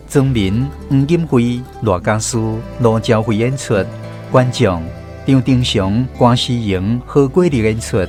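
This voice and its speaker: A man's voice: mid-range voice, darkish tone. A man with a Taiwanese accent, delivering scripted narration as the host of a radio drama programme.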